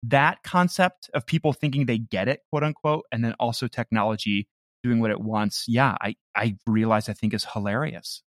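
A man's voice: medium pitch, moderate pace, fairly bright tone, no echo, studio quality. A man chatting casually in an interview as one of the creators of a comedy show.

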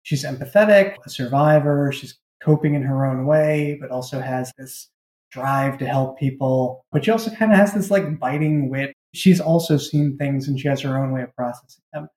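Guy writer chatting casually in an interview, soft mellow tone, medium pitch, roomy echo. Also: normal speed. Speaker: audio drama creator and writer, interview guest. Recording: echoey room.

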